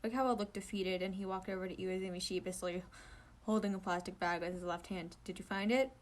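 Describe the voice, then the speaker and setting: A woman's voice: on the low side, fairly even intonation, fast, slight background noise. A woman reading a story aloud informally, sometimes stumbling and correcting herself. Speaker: amateur fan fiction reader.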